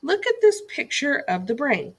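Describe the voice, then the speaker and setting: Woman reading aloud with lively, varied pitch. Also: moderate pace. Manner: instructional, addressing young listeners with questions and directions. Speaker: teacher reading a lesson aloud. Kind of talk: scripted educational read-aloud for children.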